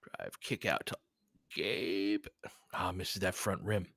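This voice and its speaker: Bright-voiced man casually commentating on basketball. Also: low-pitched, slow, vocal fry, husky, no echo, studio quality. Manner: conversational, chatting back to listeners, mildly profane. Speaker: host of a live sports play-by-play stream. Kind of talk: casual sports commentary and analysis.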